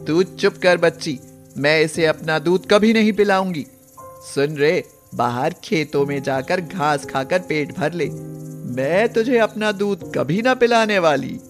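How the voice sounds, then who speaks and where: A woman voicing a cruel, sharp-tongued stepmother cow in an animated moral story. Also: low-pitched, measured pace, soft background music. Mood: harsh, scolding. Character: cruel stepmother cow, sweet outside, bitter inside.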